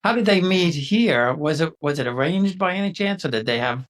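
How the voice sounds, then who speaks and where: A man's voice: on the high side, animated, moderate pace, a bit bright. A man hosting a conversational interview, asking curious follow-up questions. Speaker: host.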